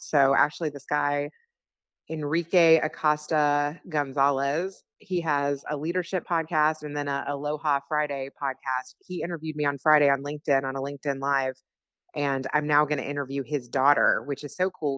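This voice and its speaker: A woman's voice: low voice, fairly bright tone, no room sound. Chatty American woman on a muffled phone line. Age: 40s to 50s. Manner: chatty, informal. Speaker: podcast guest and fellow podcaster. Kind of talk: casual phone chat. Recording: muffled phone call, dry.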